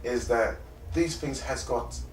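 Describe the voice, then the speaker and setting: A man's voice: mid-range voice, slow pace, a little hiss or hum. Male interview guest, London accent, colloquial and emphatic, roomy sound with the mic at a distance. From North London, born in Trinidad and Tobago. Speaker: interview guest, conflict engagement specialist and mediator. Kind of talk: personal storytelling in an interview. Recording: echoey room, distant mic.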